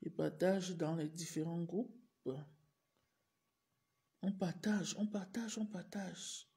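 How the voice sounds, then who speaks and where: Woman, adult teacher, has a cold, clears her throat; slow, repetitive live-stream pleas. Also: fairly low pitch, dark tone. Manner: repetitive, urging viewers over and over. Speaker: teacher livestreaming to followers. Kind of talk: casual live-stream address.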